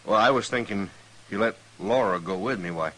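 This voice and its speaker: An actor playing an impulsive, lovestruck outlaw trying to reform in an old radio western, earnest, halting and folksy. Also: male voice, fairly low pitch, bright, forceful tone. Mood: hesitant, earnest. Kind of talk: old-time radio western drama acting. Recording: noisy vintage radio recording.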